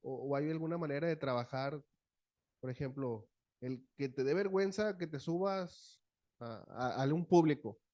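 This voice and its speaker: A man's voice: on the high side, moderate pace, mellow, no room sound, highs cut off. A man from the audience asking a question, hesitant and searching for words.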